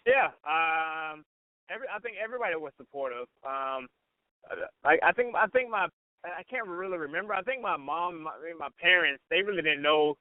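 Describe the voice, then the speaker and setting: Man, casual interview guest, fairly high pitch, repetitive informal phrasing, tinny phone-in recording. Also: expressive, brisk, no echo. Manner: informal, repetitive, peppers talk with "you know" and "man". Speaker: radio personality, interview guest. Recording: band-limited radio phone-in sound.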